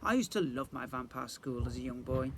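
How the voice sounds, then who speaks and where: A man's voice: mid-range voice, expressive, a little fast, a bit bright, a little hiss or hum. A man voicing a proud, persuasive vampire father in a children's storybook, exclaiming and insistent.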